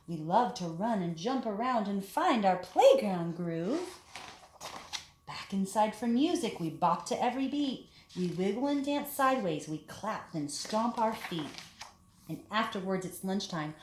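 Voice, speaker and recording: A woman leading a children's storytime, talking and reading aloud in simple, deliberate phrases meant for young children. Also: mid-range voice, darkish tone. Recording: echoey room with background hiss.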